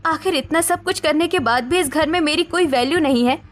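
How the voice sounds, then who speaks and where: A woman acting scornful mothers-in-law and hurt young daughters-in-law in an animated family drama, with emphatic melodramatic delivery. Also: high voice, brisk, natural voice. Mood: reproachful, hurt.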